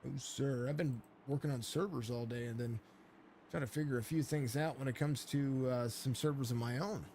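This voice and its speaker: A man's voice: mid-range voice, normal speed, a little mellow, slight background noise. A man with laryngitis commenting live on a sim racing stream, rambling through the grid and chatting with viewers, with lots of fillers and restarts. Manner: rambling, filler-heavy play-by-play, greets chat viewers. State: hoarse from laryngitis. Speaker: race commentator and stream host.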